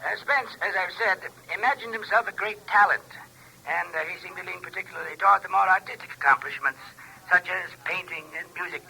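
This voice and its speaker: An actor playing a precise, scholarly asylum psychiatrist in a 1950s radio drama, formal and hesitant, heard through a telephone filter. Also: male voice, high voice, fairly quick, creaky, sharp tone, slight background noise, exaggerated voice, rough recording. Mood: clinical, deliberate. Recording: filtered phone voice, vintage radio recording.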